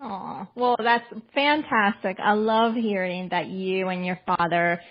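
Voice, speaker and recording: A woman in her forties from Colombia speaks as a guest in a casual interview. She is chatty and affirming and often fills pauses with "uh". The sound is slightly dull. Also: medium pitch, steady tone. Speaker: podcast guest and author.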